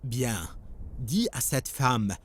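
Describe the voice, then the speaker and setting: Stern husband with very animated intonation. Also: male voice, mid-range voice, very slow, breathy edge, exaggerated voice. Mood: stern, reproachful. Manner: accusatory, questioning, commanding. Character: stern, suspicious husband scolding his wife. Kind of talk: dubbed religious cartoon acting.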